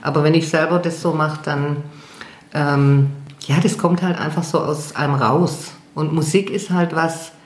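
A woman's voice: low voice, fairly even intonation, a little slow. A middle-aged woman singer talking casually as an interview guest, hesitant and full of fillers, with self-deprecating laughs. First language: German. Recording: echoey room.

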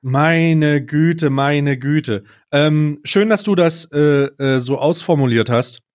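A man's voice: a little high, slow pace. A man co-hosting a casual comedy podcast in a wordy, mock-dramatic way. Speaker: podcast co-host.

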